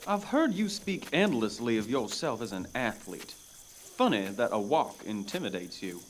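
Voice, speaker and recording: An actor playing a prim, formal elf guide who speaks in lofty diction. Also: male voice, mid-range voice, very animated, a little slow, slight background noise. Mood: haughty. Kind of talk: audio drama acting.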